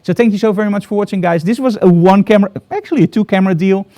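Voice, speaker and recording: A man's voice: high voice, rapid-fire, dark tone. A middle-aged man with a Dutch accent, hosting a live photography broadcast from his studio in an upbeat, enthusiastic, promotional way. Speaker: photography host.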